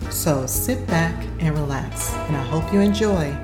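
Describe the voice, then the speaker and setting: A woman's voice: sits low, animated, unhurried, roomy sound, loud music behind the voice. A woman hosting a podcast, reading a scripted episode introduction. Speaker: podcast host.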